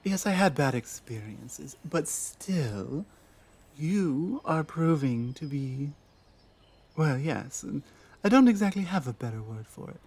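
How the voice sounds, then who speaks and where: A woman voicing an earnest, honor-bound female orc in an ASMR roleplay, formal yet halting in manner. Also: low voice, animated, darkish tone, breathy edge, exaggerated voice. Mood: earnest, musing.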